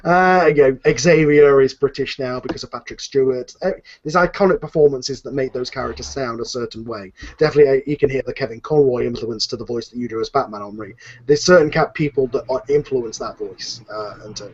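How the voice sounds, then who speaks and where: A man's voice: medium pitch, a little fast. A man who writes an audio drama, talking enthusiastically in a casual panel chat, rambling with many fillers and restarts. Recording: muffled lo-fi recording.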